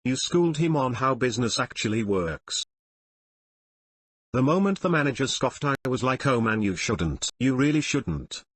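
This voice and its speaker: A man narrates scripted online stories and reader comments. His voice sits at an average pitch with a husky, slightly rough edge. The sound is dry, with very little room around it. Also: sing-song, normal speed, a bit bright, dry recording. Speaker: narrator reading online forum posts and comments.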